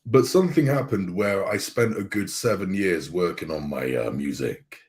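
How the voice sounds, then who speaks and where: A man with an extremely deep voice, chatting as a guest on a casual show. He tells his story in a relaxed, rambling way and laughs easily. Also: moderate pace. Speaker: guest voice actor and YouTuber. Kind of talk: casual chat.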